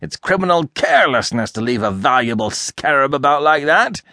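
A man's voice: mid-range voice, animated, fast talker, fairly bright tone, no echo, clean studio sound. A man reading a storybook, voicing an irascible, sarcastic, blustering older rich American man who hectors explosively. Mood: indignant. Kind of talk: audiobook character acting.

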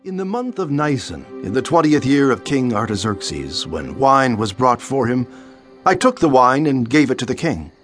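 A man's voice: medium pitch, very animated, moderate pace, soft background music, lightly acted. A man playing Nehemiah, narrating in the first person with earnest, declamatory delivery in a dramatized Bible.

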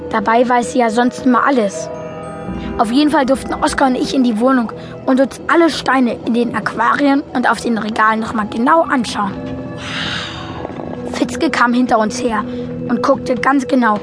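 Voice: mid-range voice, lightly acted. A young performer playing a naive boy who narrates a German film audio drama in a simple, matter-of-fact way.

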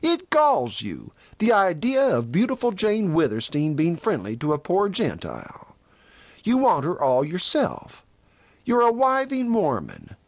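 A man playing a high-pitched, defiant young cowboy. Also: huge pitch swings, a little slow, no room sound, heavily acted. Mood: taunting. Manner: passionate, taunting, high-strung. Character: defiant, proud young outcast cowboy, passionate and bitter. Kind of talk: audiobook character dialogue in a western.

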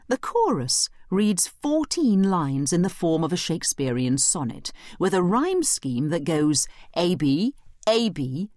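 Animated, lower-pitched woman explaining. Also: no room sound, clean studio sound. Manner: explanatory, clearly spelling out points. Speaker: study guide narrator and commentator. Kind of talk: scripted educational commentary.

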